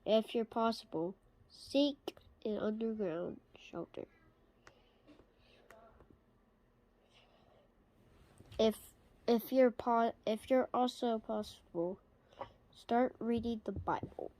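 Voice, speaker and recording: Woman playing a slow, halting emergency announcer. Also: a little high, mellow, rough recording. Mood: faltering. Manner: halting, stumbling over the script. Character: solemn emergency alert announcer delivering doomsday warning. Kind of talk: amateur scripted emergency broadcast parody.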